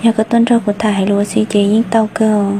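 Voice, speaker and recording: A woman's voice: mid-range voice, little pitch movement, brisk, dark tone, slight background noise, natural voice. A woman voicing a young village woman in a homemade cartoon, talking in a plain, conversational way and recorded on a phone. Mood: chatting. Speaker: voice actor. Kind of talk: amateur cartoon voice acting. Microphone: phone microphone.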